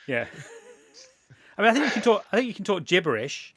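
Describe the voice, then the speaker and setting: A man's voice: high-pitched, sing-song, normal speed, pushed and bright, no room sound. A man from England hosting a casual chat, rambling with frequent restarts and laughter. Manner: rambling, self-interrupting, laughs often. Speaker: podcast host.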